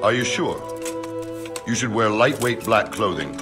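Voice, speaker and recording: An actor playing an earnest, dutiful medieval soldier briefing his men in a video game, measured and instructive. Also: lowish voice, lively intonation, music in the background. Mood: commanding, steady. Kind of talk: dramatic video game acting.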